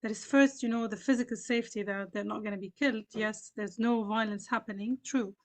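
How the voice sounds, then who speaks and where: A woman's voice: medium pitch, fairly even intonation, a little fast. A Palestinian woman with a Palestinian and Lebanese Arabic accent, speaking on a panel in an earnest, reflective way with frequent fillers. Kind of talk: panel discussion.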